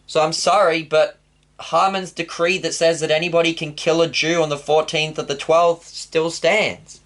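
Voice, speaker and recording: A man's voice: on the high side, little pitch movement, moderate pace, a bit bright, lightly acted. A man voicing a hot-tempered ancient king who bursts out in outrage. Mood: apologetic. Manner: exclaiming, outraged outbursts.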